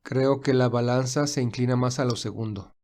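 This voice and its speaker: A man playing a thoughtful, devoted young gentleman in an audio drama, earnest and reflective. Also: mid-range voice, fairly even intonation, moderate pace, lightly acted. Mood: pensive, determined.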